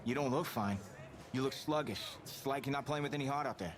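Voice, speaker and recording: A man's voice: medium pitch, a little fast, natural voice. An actor playing an earnest young male quarterback with a New Jersey accent, heard through game audio. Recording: game audio with background noise.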